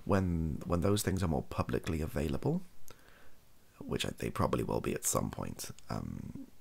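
A British man speaks softly in a very low, husky voice full of heavy vocal fry. His pitch moves around freely as he rambles and hesitates. The delivery is an improvised, self-deprecating ASMR chat. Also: sing-song, moderate pace. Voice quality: soft, gravelly, husky. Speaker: video host, ASMR creator. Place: small bedroom office, indoors.

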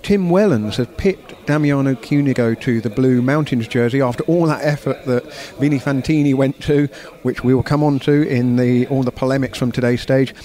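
A man's voice: mid-range voice, moderate pace, few pauses, mellow. Man hosting a sports podcast. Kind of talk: conversational race round-up. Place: bar of a sports complex.

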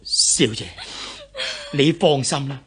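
An actor playing a loyal, anxious family servant with a hesitant, deferential manner in a Cantonese radio drama. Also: male voice, medium pitch, slow pace, a bit bright, slight background noise. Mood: reassuring. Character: loyal, anxious male family servant bringing grim news.

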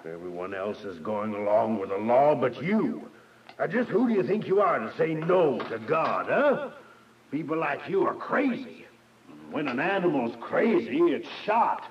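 A man's voice: mid-range voice, very animated, a little slow, recorded from a distance. A man playing a taunting, commanding prison guard in a radio drama. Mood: mocking, menacing. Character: menacing, mocking male prison guard. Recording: dull, noisy radio drama recording, off mic.

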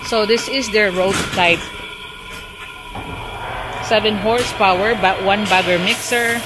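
A woman's voice: mid-range voice, fairly even intonation, unhurried. Filipino woman vlogging over workshop noise. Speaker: vlogger touring a factory. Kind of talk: casual walk-through narration. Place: open-sided metal fabrication factory. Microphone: handheld camera microphone. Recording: noisy industrial workshop, loud ambient clatter.

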